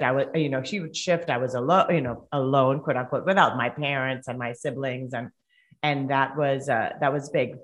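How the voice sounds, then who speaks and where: A middle-aged woman from Colombia with a Colombian Spanish accent, a native Spanish speaker, talking reflectively as a podcast guest. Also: rumbling low. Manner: reflective, with frequent restarts and laughs. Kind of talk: conversational interview.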